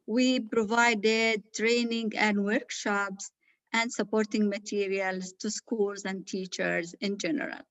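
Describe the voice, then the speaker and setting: A woman's voice: a little high, no room sound. Woman from Palestine, formal earnest presenter; dull lo-fi audio. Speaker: association president, presenter. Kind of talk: conference presentation.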